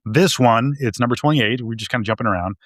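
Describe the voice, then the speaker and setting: A man's voice: medium pitch, quick pace, no echo. A man co-hosting a podcast, talking casually and hesitantly, often restarting and repeating words. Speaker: podcast co-host. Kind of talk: casual discussion.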